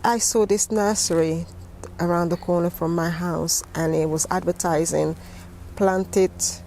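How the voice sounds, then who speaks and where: A middle-aged London woman with a slightly low voice speaks as a guest in a conversational interview. She recounts things haltingly, often doubling back on words, over noticeable background noise. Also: steady tone, darkish tone, rough recording. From West London, England. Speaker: interview guest, gardening teacher.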